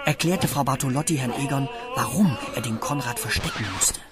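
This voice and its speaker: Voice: mid-range voice, brisk, loud music behind the voice. A narrator reading a scripted children's story between scenes of a radio play.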